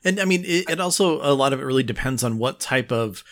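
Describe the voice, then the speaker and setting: A man co-hosting a casual podcast, easygoing and agreeable, often pausing and restarting his sentences. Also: mid-range voice, expressive, fairly bright tone. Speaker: podcast co-host.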